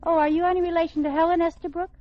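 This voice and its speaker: A woman playing a playful, quick-witted wife in an American radio comedy, coy and dry. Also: very high-pitched, level tone. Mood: polite, bemused. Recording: muffled noisy radio, loud studio audience.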